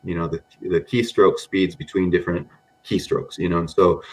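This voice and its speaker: Deep-voiced man, rambling and casual. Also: flat delivery, normal speed, rough recording. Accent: mixed American. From grew up in Japan among Americans. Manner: rambling, frequent "you know" and "um". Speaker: podcast guest, data scientist. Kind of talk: casual podcast interview.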